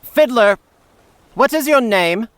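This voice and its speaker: An actor playing a haughty, fussy chief steward with a pompous, formal, condescending way of talking in an audiobook. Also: male voice, very high-pitched, lively intonation, takes it slowly, dry recording, exaggerated voice. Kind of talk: audiobook character acting.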